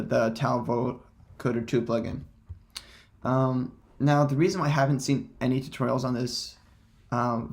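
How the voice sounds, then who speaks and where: A man presenting a casual software tutorial in hesitant, halting, unscripted phrasing. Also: medium pitch, vocal fry.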